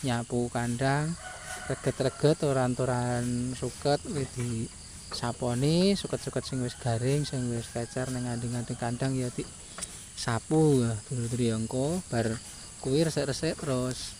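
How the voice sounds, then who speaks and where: A man's voice: mid-range voice, steady tone, measured pace, darkish tone. A man from rural Java with a Javanese accent, narrating his daily chores in a casual vlog, often repeating himself and tagging phrases with a questioning filler. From rural Java, Indonesia. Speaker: village vlogger, farm labourer. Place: outdoors by a goat pen in a village. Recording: noisy outdoor village ambience.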